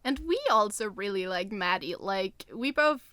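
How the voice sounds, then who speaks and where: A woman's voice: mid-range voice, animated, moderate pace, sharp tone, dry recording. A woman co-hosting a podcast, talking in casual, informal conversation. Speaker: podcast co-host and co-writer.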